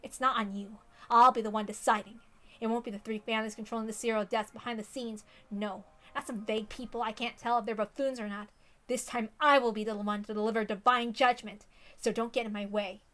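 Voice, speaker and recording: A woman's voice: on the high side, steady tone, a little fast, pushed and bright. Woman voicing a menacing, vengeful teenage boy. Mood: bitter defiance. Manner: intense dramatic monologue, menacing. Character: teenage boy consumed by murderous, vengeful rage.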